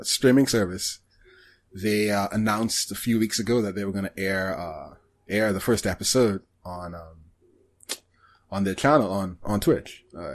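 Casual podcast co-host, male, lowish voice, hesitant and halting delivery that tends to trail off. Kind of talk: casual anime discussion.

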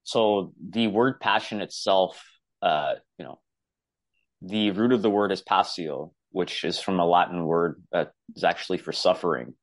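A man from Canada, a guest on a podcast, talking in an easygoing, relaxed way with many fillers. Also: deep voice, a little slow, no echo. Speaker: podcast guest, boxing coach. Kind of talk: casual interview chat.

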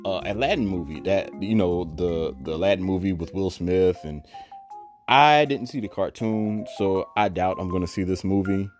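A man vlogging, telling a rambling personal story full of asides and fillers in a voice he calls bassy. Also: moderate pace, soft background music. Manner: animated, digressive, many fillers and asides; self-described bassy voice.

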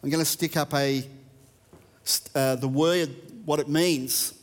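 A man's voice: fairly high pitch, steady tone, takes it slowly, long reverb tail. An Australian man preaching a sermon, reading verses and talking conversationally to a church. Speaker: pastor.

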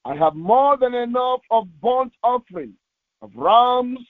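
A thin, phone-like recording with very little room sound carries a man's high-set voice. He is a pastor delivering a devotional and reading scripture solemnly. His phrases are short and deliberate, with a halting delivery. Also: expressive, no room sound. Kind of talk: devotional sermon with slow scripture reading aloud. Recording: tinny, phone-like narrowband audio.

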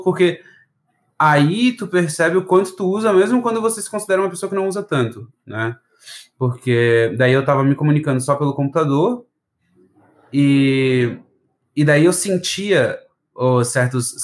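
A man from southern Brazil with a mild Brazilian southern accent, chatting casually as a podcast guest in a rambling, slangy way. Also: on the high side. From Florianópolis, southern Brazil. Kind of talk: casual chat.

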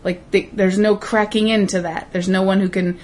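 Woman, slightly raspy voice, casual podcast co-host, chatty and reflective. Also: mid-range voice, little pitch movement, moderate pace, darkish tone. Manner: chatty, reflective, laughs easily. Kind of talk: casual chat and advice segment.

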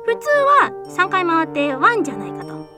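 A curt, loyal servant to a rain-spirit princess, voiced by a woman. She talks high and bluntly, brushing humans off. Loud music plays behind her. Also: wildly swooping pitch, normal speed, full character voice. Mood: curious. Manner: blunt, dismissive toward humans. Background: background music.

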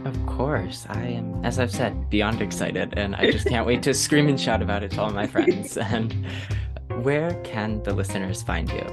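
A woman's voice: a bass voice, normal speed, loud music behind the voice. A woman hosting a casual podcast interview, speaking in an effusive, gushing way. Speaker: podcast host.

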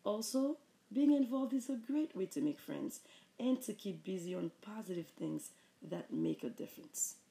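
A woman with a Haitian accent, a mother and teacher, giving earnest, measured answers in a family interview. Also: mid-range voice, expressive, gravelly, mellow. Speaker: mother, high school teacher. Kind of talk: reading prepared interview answers.

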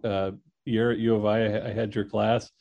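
A man's voice: lowish voice, flat delivery, normal speed, lo-fi sound. A man co-hosting an agriculture podcast, speaking in a friendly, conversational way. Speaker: podcast co-host. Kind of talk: casual podcast chat.

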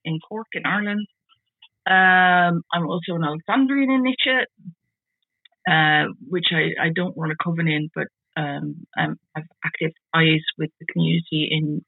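A woman in her forties from the Netherlands, with a Dutch accent, talking casually as an interview guest, halting and often repeating words as she restarts. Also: low-pitched, breathy edge, no room sound. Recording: tinny phone-like call audio.

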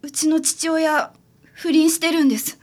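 A woman plays a troubled daughter confiding in a detective in a radio drama. Her voice is high and sincere. She speaks politely, like a client explaining a family worry. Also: little pitch movement, normal speed, darkish tone, natural voice. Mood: pleading, troubled.

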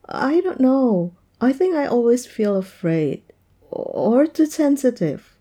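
A woman's voice: fairly high pitch, dark tone, exaggerated voice. A woman acting an oversensitive young woman in an intimate audio roleplay, speaking to her partner in a hesitant, plaintive way. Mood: anxious, apologetic. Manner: hesitant, plaintive, halting delivery. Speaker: roleplay voice performer. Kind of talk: intimate audio roleplay, one-sided dialogue.

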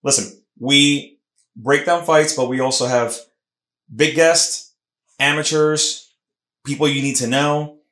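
Male podcast host, emphatic and animated, middle pitch, roomy echo. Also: slow, long pauses. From Puerto Rican heritage. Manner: animated, emphatic, lots of restarts. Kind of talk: casual combat sports podcast chat. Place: Philadelphia podcast studio room.

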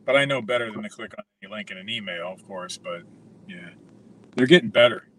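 A man with a Southern drawl, his voice on the low side, calling in to a podcast from his parked car. He trades dry jokes and curses without thinking about it. A faint hiss sits behind him. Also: a little fast, fairly bright tone, low-quality recording. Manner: wry, joking, swears freely. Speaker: podcast co-host. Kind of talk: casual podcast chat.

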